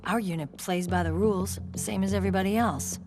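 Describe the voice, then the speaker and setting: An actress voicing a composed young woman military commander in a video game cutscene, measured, serious and articulate. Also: mid-range voice, lightly acted. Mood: composed, businesslike. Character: composed military unit commander, grown woman, wounded pride. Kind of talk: dramatic video game cutscene acting, expository. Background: music behind the voice.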